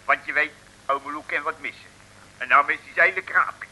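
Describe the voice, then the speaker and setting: A piercingly bright, slightly high-voiced snack-stall owner in a Dutch radio comedy. He gushes and mutters with forlorn good cheer. Faint noise sits on the old recording. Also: normal speed, poor audio. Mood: forced cheer. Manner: chattering, ingratiating, muttering asides. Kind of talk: 1960s comic radio serial acting.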